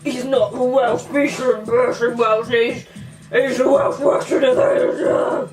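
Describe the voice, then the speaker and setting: A woman's voice: fairly high pitch, fairly even intonation, slow pace, over music. A young woman doing a comic monologue in a slangy, swaggering tough-kid persona, filmed in a small bedroom from a camera a few metres away. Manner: slangy put-on tough-kid swagger, sing-song chants. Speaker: comedy vlogger. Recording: distant mic in a small room.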